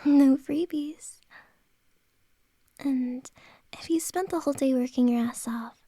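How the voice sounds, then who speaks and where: Woman as a shy, whispering female boss for ASMR, high and mellow, slow hesitant delivery. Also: no echo, studio quality. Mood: tender, flustered. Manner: soft whispering, hesitant and halting. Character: flustered woman boss confessing to an employee. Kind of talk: ASMR roleplay.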